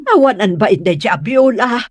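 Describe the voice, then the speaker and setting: A woman's voice: mid-range voice, lively intonation, normal speed, no room sound, studio quality, natural voice. A woman playing an indignant, disapproving mother who scolds and exclaims, in a comedy audio drama.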